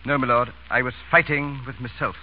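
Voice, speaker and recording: An actor with an English accent playing a deferential but proud valet in old radio comedy, very polite and formal, with a hesitant stammer. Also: male voice, medium pitch, fairly even intonation, brisk, natural voice. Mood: hesitant pride. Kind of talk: 1940s radio comedy acting. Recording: muffled, hissy old radio broadcast.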